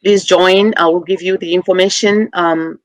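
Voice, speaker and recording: A middle-aged woman from Zimbabwe, a guest on a talk show, speaking earnestly with many pauses and fillers as she appeals for help. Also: fairly low pitch, steady tone, no room sound. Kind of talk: call-in interview.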